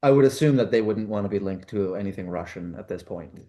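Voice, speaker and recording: Canadian man, actor guest, lowish voice, laid-back and self-deprecating on a video call. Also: fast, dark tone. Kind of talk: casual interview.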